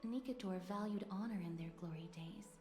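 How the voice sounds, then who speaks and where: An actress performs a courteous young noblewoman from a fantasy game. Her delivery is solemn and formal, in a dark, mellow tone. Music plays beneath the echoing voice. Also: medium pitch, brisk, close to an everyday voice. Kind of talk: English video game dub acting.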